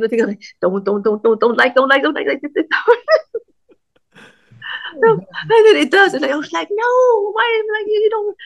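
Halting woman with a German accent. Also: high-pitched. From Austria. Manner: halting, many restarts, frequent laughter. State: speech affected by illness. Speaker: guest. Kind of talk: casual interview conversation.

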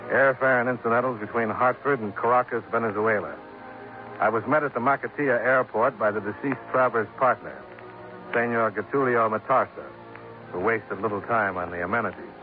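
Actor as a wry investigator, tinny old radio. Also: male voice, mid-range voice, fairly even intonation, a little fast, faint music behind, lightly acted. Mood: businesslike narration. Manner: dry, sardonic, clipped. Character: cool, wry American insurance investigator hero. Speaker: lead and first-person narrator. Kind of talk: 1950s hard-boiled radio drama.

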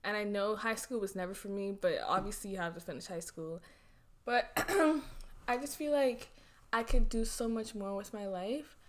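A young woman in her twenties chatting casually as a podcast guest, rambling with lots of fillers and quick giggles. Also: mid-range voice. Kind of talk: casual chat.

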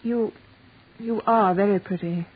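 A woman's voice: mid-range voice, steady tone, a little slow, breathy edge. Proper, wounded lady on tinny radio. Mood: hesitant, probing. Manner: formal, earnest, restrained. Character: proper, wounded upper-class English wife. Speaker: radio drama actress. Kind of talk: 1940s radio horror drama acting. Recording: tinny, noisy 1940s radio recording.

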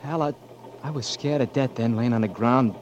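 A man's voice: medium pitch, moderate pace, muffled recording, background noise, natural voice. An actor playing a loyal, blunt marine buddy who confronts his friend, in 1940s radio acting. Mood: confronting.

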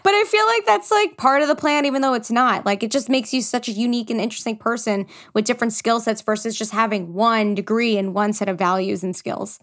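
A woman hosting an interview podcast, talking with quick enthusiasm. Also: a little high, a bit bright. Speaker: podcast host. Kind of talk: casual interview chat.

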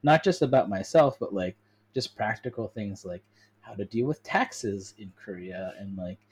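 An American man with a fairly low voice, hosting a casual conversation. He sounds reflective and candid, tentatively working out his thoughts as he talks. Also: lively intonation, moderate pace, husky. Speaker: podcast host, interviewer. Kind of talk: casual chat.

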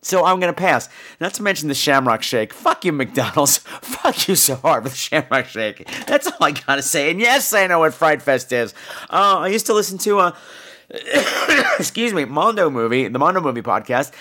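A hoarse American man with laryngitis, chatting in a campy, animated, comic way as a podcast host. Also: fairly high pitch, moderate pace. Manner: campy, animated, foul-mouthed. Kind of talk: casual comic podcast chat.

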